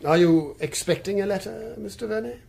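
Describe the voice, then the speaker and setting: An actor playing a formal, deferential Victorian manservant with an English accent in a period radio drama. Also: male voice, high voice, fairly quick, gravelly, darkish tone, breathy edge, heavily acted. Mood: deferential, probing. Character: deferential, probing Victorian manor butler.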